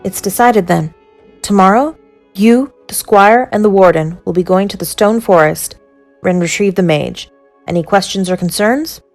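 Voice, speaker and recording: An actress plays an authoritative councilwoman in a fantasy audio drama. Her mid-pitched voice is brisk and decisive. Faint music sits behind it. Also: natural voice.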